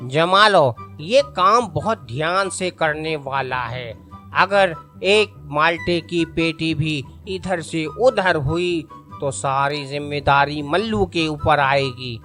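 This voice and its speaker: Stern, higher-pitched male boss acted by a man. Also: pushed and bright, faint music behind. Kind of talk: cartoon story voice acting.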